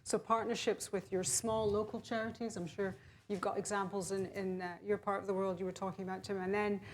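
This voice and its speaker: A woman's voice: mid-range voice, little pitch movement, brisk, slight background noise. A woman on a research panel, giving frank, direct advice. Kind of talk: panel discussion answer.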